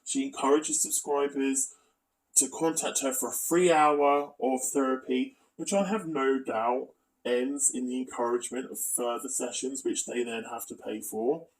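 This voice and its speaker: A man's voice: medium pitch, fairly even intonation, unhurried, far from the mic, poor audio. A man narrating a scripted exposé as a video commentator, with asides and a critical, accusatory manner.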